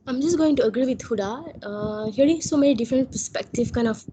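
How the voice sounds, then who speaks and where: A woman's voice: a little high, quick pace, dark tone, dull audio, a little hiss or hum. A woman giving casual remarks in a group discussion. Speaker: book club participant.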